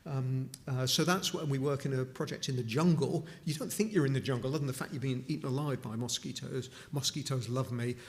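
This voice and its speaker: A man's voice: medium pitch, fairly quick, few pauses. Man giving a chapel talk. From Newcastle upon Tyne, England. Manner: conversational, with frequent restarts and asides. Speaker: charity speaker at a chapel. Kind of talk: informal talk to a church congregation.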